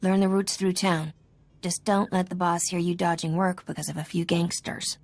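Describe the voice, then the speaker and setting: An actress playing a tough, impatient gangland worker in a video game, blunt and streetwise. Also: female voice, on the low side, level tone, moderate pace, dry recording, lightly acted. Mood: impatient, scornful.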